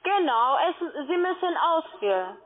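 A thin, muffled phone line carries a woman's high voice. She is a call-centre agent and not a native speaker of German. Her phrasing is halting and she pushes the customer through each step. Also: big pitch swings. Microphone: telephone.

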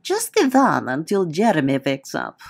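A woman's voice: deep voice, huge pitch swings, moderate pace, creaky, exaggerated voice. A woman playing a confident, vengeful fighter in an audio drama, dramatic and resolute.

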